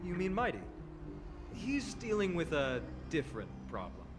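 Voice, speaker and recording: A man's voice: sits high, wildly swooping pitch, normal speed, over music. A man playing an earnest, steadfast young warrior hero in a video game, sincere and resolute. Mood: reassuring. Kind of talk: scripted video game dialogue.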